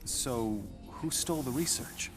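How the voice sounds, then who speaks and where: A man's voice: mid-range voice, a little slow, over music, lightly acted. An actor playing a skeptical male journalist in a video game cutscene, asking questions in an inquisitive, conversational way. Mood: curious, skeptical. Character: probing, skeptical male journalist conducting an interview. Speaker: interviewer.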